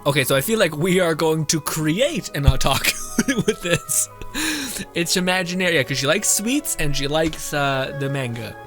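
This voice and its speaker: A man's voice: fairly high pitch, expressive, normal speed, fairly bright tone. A young man doing casual, jokey game commentary and reading text aloud, with game music behind. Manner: giggly, informal, jokey. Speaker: game streamer.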